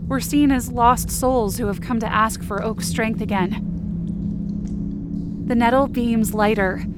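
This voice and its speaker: High-voiced woman narrating suspenseful fiction. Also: fairly even intonation, measured pace, heavy background noise. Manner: suspenseful, intense delivery. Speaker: fiction podcast narrator and performer. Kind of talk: first-person dramatic scripted narration. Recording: music bed under the voice. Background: dramatic background music.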